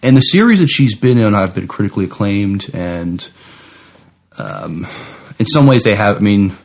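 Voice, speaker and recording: Man, fairly low pitch, podcast co-host, casual chat, measured, slightly tentative phrasing. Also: expressive, vocal fry. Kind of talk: casual chat, some reading of news copy.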